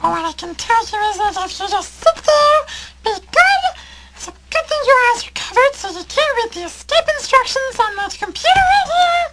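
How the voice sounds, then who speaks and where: Very high-pitched melodramatic supervillainess, noisy recording. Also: female voice, very animated. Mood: smug threatening. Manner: melodramatic, deliberate, mock-sweet. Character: gleeful scheming female supervillain, bossy and theatrically menacing. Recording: very noisy amateur recording with clicks.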